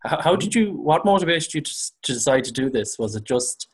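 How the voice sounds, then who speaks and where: A man's voice: on the high side, big pitch swings, fairly quick, slightly rough. A man hosting a podcast, introducing his guest in an upbeat way and asking questions with some fillers. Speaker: podcast host. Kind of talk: podcast interview.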